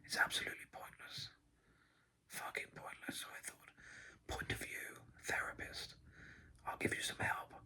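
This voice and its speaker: A man's voice: moderate pace, long pauses, pushed and bright. A man doing a whispered ASMR ramble, sardonic and sweary, enunciating carefully and poshly with a crackly whisper. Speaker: heavy metal musician making an ASMR video.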